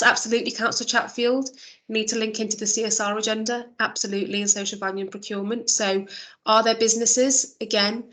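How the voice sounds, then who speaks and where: A woman's voice: on the high side, a bit bright, low-quality recording. A woman chairing an online meeting, thinking aloud with fillers and restarts, her voice a little worn. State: losing her voice. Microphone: video call.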